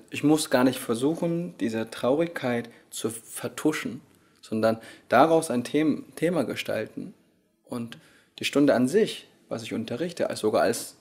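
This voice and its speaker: A man in his thirties, Korean-born, who speaks with many pauses and fillers as a yoga teacher answering interview questions, recorded indoors on a handheld recorder. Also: medium pitch, a little mellow, slightly rough. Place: hotel lounge at a conference, indoors. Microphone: handheld recorder held by the interviewer.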